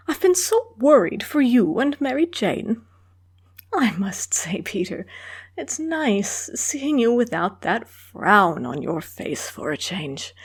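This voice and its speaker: Elderly doting aunt, female actor: husky, lilting and animated, genteel, fussing, for a comic dub. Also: medium pitch, full character voice. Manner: motherly, concerned, genteel.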